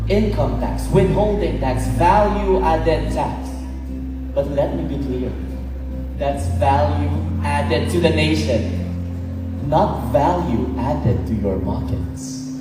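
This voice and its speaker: A man with a Filipino accent giving emphatic pageant oratory with dramatic pauses. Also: a little high. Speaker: male pageant contestant. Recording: echoey hall from far off, music behind.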